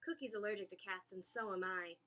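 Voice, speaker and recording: A woman's voice: medium pitch, fairly even intonation, a little fast, pushed and bright, natural voice. A woman voicing a practical, caring mother in a children's storybook, firm but reassuring. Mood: stern. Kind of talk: children's storybook read-aloud.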